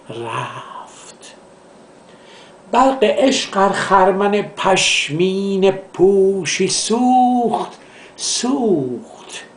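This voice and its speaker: A man from Iran, a literature professor, recites classical poetry in a measured, declamatory way, drawing out the line endings. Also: fairly high pitch. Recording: echoey, reverberant room sound.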